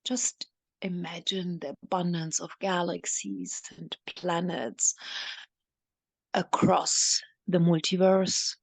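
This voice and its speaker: A woman leading a channeled guided meditation, speaking solemnly in halting, deliberate, repetitive phrases that often soften toward a breathy whisper. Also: on the low side, flat delivery, no room sound, rough recording.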